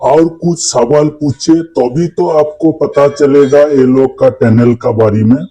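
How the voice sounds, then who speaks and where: A man's voice: medium pitch, fairly even intonation, measured pace, mellow, lightly acted, low-quality recording. A man voicing an exasperated, sarcastic schoolteacher in a comedy cartoon, quizzing pupils with a mocking drawl.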